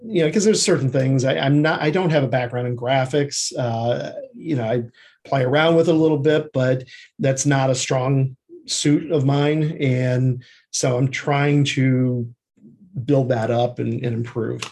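A man answering as an interview guest in a conversational, reflective way, haltingly thinking aloud. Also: mid-range voice, creaky, a little mellow, breathy edge. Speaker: interview guest, screenwriter and TV creative services producer.

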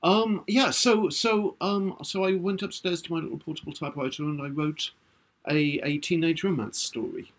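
Husky older man telling a story. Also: fairly high pitch, normal speed. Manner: warm storytelling with hesitant restarts. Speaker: podcast co-host, writer. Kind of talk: casual chat.

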